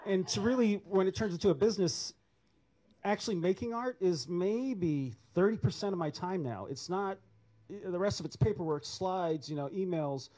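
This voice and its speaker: A man on an artists' panel, speaking haltingly with many restarts. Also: sits high, mellow. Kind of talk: panel discussion answer.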